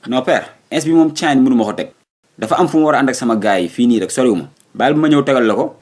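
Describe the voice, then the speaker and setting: Casting: male actor as young Senegalese cartoon son, husky, very quick-talking, determined and argumentative. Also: medium pitch, darkish tone, natural voice. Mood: evasive. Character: determined, evasive young son eager to leave home. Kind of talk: comic cartoon dubbing.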